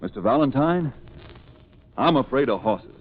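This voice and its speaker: A man's voice: mid-range voice, expressive, moderate pace, natural voice. A tinny, noisy vintage radio recording of an actor as an anxious American cowboy star. He speaks urgently and confidingly, as if guarding a secret, in 1940s radio comedy fashion.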